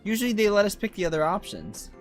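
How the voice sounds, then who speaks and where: A streamer with an androgynous voice comments casually on a game. The delivery is low-key and musing, at a medium pitch, with faint game music behind it. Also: very animated, fast.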